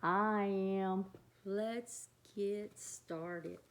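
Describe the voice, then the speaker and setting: A woman reading a picture book aloud to children in an expressive way, often chatting directly to her young listeners. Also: medium pitch, very slow. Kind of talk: animated read-aloud with chatty asides.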